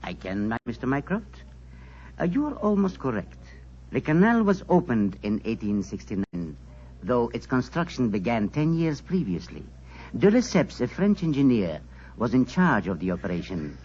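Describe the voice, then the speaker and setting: A man's voice: mid-range voice, big pitch swings, normal speed, a little mellow, close to an everyday voice. Formal, erudite male dignitary played by an actor, polite and precise, 1940s radio drama, muffled with faint hiss. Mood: courteous.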